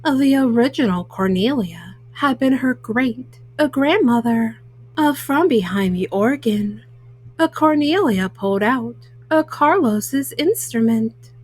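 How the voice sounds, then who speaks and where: Slow, halting woman reading a storybook. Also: a little high, lively intonation, breathy edge. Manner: halting, word-by-word reading with frequent hesitant fillers. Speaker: storyteller, host of a children's read-aloud show. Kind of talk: reading a children's picture book aloud.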